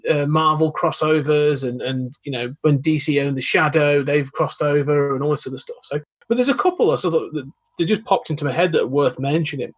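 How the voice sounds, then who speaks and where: Husky-voiced chatty podcast guest. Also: male voice, fairly high pitch, a little fast. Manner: chatty, explains at length with frequent restarts. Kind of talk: casual chat.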